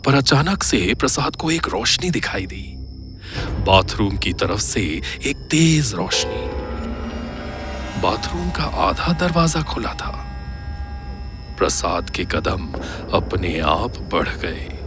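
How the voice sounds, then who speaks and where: A man's voice: lowish voice, very animated, slow pace, over music. A man narrating a scripted horror audio story in a suspenseful, dramatic manner.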